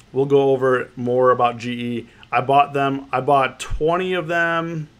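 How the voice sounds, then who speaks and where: A man's voice: mid-range voice, level tone, takes it slowly, fairly bright tone, smooth. Man, nasal, stuffy with a cold, rambling livestream chat, repeats himself. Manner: rambling, repeats phrases, greets viewers by name. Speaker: options trading livestream host. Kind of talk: casual livestream commentary, reading chat.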